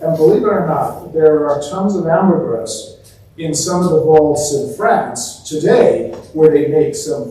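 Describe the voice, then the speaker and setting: A man's voice: a little high, a little mellow. Guy doing a casual history talk, chatty, asks the audience stuff, mic kinda far off, bit of noise. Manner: conversational, rhetorical questions to the audience. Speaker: museum talk presenter. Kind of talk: informal public history lecture with storytelling. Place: indoor museum talk before a live audience. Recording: very echoey room, distant mic, faint noise.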